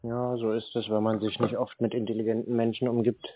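A man chatting casually on a call, answering in short, deadpan, needling remarks. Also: on the low side, a little fast, slightly rough. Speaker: scam baiter taking calls. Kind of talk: casual phone chat. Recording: dull roomy phone-quality audio.

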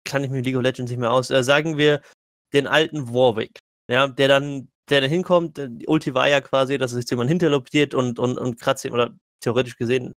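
A man's voice: mid-range voice, a bit bright, dry recording, clean studio sound. A man in a casual gaming debate, arguing insistently and often stumbling over repeated words. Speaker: podcast panelist.

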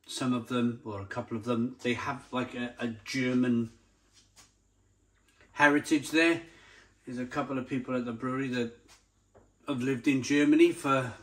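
A man presents an informal tasting review in a medium-pitched voice. He speaks off the cuff, straight to the viewer, with open enthusiasm. He likes to linger on descriptive words and repeat them as he savours what he is describing. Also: fairly bright tone, poor audio. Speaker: beer review channel host. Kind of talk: casual unscripted tasting review to camera.

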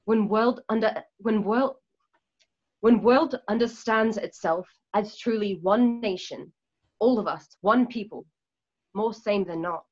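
A woman's voice: medium pitch, lightly acted. Woman playing a solemn rebel, muffled call audio. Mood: solemn, earnest. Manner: earnest, oratorical, measured. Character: solemn idealistic woman rebel preaching nature and unity. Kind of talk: remote radio play reading. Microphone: video call. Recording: dull lo-fi video call audio.

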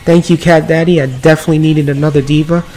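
A man with a slightly raspy voice from a cold, giving upbeat, rambling commentary over mobile card game play. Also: on the high side, steady tone, fast, dark tone, poor audio. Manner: upbeat, filler-heavy running commentary. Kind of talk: casual gameplay commentary. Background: background music.

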